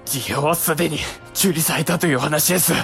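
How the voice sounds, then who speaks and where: A man's voice: on the high side, brisk, vocal fry, fairly bright tone, soft background music. A man playing an earnest, anxious young samurai younger brother who speaks politely in a period audio drama. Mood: alarmed.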